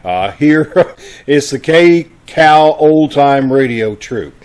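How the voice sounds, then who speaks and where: A man's voice: fairly high pitch, expressive, takes it slowly, a little mellow. A man casually hosting a live online broadcast on a video call, making announcements in a folksy, conversational way. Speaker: host of a live online radio-troupe broadcast.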